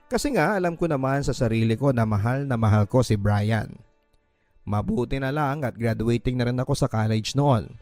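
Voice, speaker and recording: A man's voice: mid-range voice, expressive, moderate pace, darkish tone, no echo. Filipino male storyteller over background music. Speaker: radio drama narrator. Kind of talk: dramatic first-person letter storytelling.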